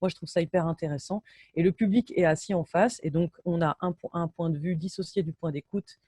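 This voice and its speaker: A woman's voice: on the low side, a little fast, dark tone, husky, dry recording, studio quality. A woman sound engineer giving an enthusiastic, casual technical talk over a video call, often hesitating and restarting her sentences. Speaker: binaural sound engineer, guest speaker. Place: home, in Brittany.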